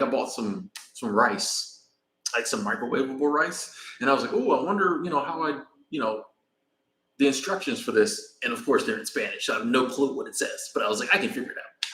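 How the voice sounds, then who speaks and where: A man with an American accent chats loosely to his live audience in a middle pitch, drifting between stories and shout-outs. His voice carries a roomy echo around it. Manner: rambling, laid-back, thanks viewers by name. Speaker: vlogger. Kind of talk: casual live-stream chat. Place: in Mexico, traveling.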